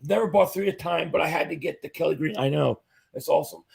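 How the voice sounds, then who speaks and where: Man, livestream host, gravelly and hoarse from losing his voice, upbeat and chatty. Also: a little high, fast, a little mellow. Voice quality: husky, fading voice. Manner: enthusiastic fan reading chat comments aloud. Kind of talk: casual live-chat commentary.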